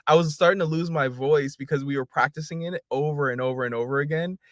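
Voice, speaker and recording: A man, a singer, guesting on a podcast, chatting warmly and gratefully and laughing often. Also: on the high side, quick pace, no echo, studio quality. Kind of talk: casual interview chat.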